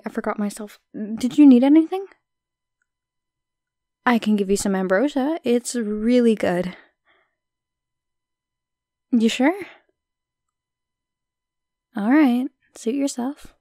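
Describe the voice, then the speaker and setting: Shy young goddess played by a woman, softly creaky. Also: medium pitch, no echo, studio quality. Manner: hesitant, chatty, with soft asides. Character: shy, friendly, modest minor goddess of Olympus. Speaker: audio roleplay voice actor. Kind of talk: soft conversational audio roleplay acting.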